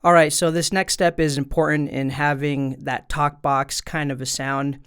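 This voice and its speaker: Clear-voiced man giving a casual tutorial. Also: on the high side, flat delivery, moderate pace, a bit bright. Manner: informal, talking directly to viewers. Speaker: tutorial presenter. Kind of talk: casual step-by-step instructional explanation. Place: indoors at a desk. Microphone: studio microphone through an audio interface.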